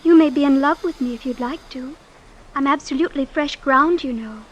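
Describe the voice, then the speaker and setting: A woman's voice: high-pitched, fairly quick, highs cut off, lightly acted. A woman playing a self-assured, sheltered teenage rich girl with artless candor, in old-time radio drama style. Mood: coyly romantic. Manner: artless, matter-of-fact candor.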